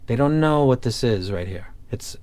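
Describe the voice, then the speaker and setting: A man's voice: medium pitch, lively intonation, normal speed, darkish tone. A man talking casually and off the cuff as an online audio gear reviewer, blunt, opinionated and colloquial. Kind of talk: casual unscripted review talk.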